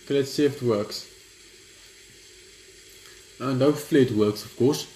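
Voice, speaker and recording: A young man giving casual gameplay commentary and reading aloud, halting and stumbling over words as he goes. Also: mid-range voice, darkish tone. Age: young adult. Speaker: gaming video host. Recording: roomy echo, clear background noise.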